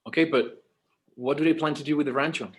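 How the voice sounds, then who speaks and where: A man playing a harried, hesitant grown son in a screenplay table read, with a halting delivery that stumbles over words. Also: fairly high pitch, fairly even intonation, natural voice. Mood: doubtful. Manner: halting, stammering.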